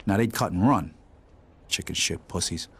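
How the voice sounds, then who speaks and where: An actor playing a bitter, foul-mouthed mobster, narrating a video game story in gruff, cynical street slang. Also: male voice, deep voice, normal speed, noisy background, close to an everyday voice. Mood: bitter, wary.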